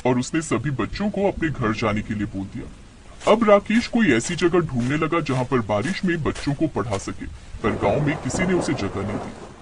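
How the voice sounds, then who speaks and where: A man's voice: medium pitch, sing-song, moderate pace, slight background noise, low-quality recording. A man narrating a scripted moral story as its storyteller.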